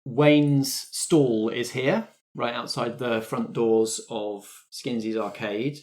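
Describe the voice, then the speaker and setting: A man's voice: mid-range voice, steady tone, slow, a little mellow. A man acting as game master, setting scenes for an improvised tabletop roleplay.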